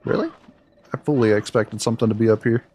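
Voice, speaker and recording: A man with a deep voice streaming a game, chatting candidly and easily with viewers while he plays, close to his mic. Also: fast, a little mellow, husky. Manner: candid, laid-back, talks to chat between game moments. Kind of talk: casual live-stream chat while playing. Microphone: close desk mic. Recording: game music audible under the voice. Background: game music.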